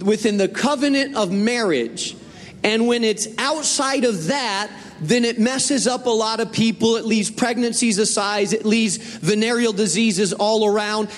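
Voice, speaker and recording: A man's voice: high voice, long reverb tail. A man from northern Spain preaching a sermon as a pastor, earnest and conversational, repeating his key points.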